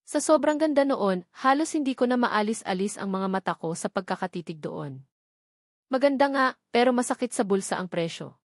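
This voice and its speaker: A woman's voice: mid-range voice, a little mellow, dry recording. Filipino woman narrating a romance, dry close sound. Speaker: audiobook narrator. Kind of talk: scripted first-person romance novel narration.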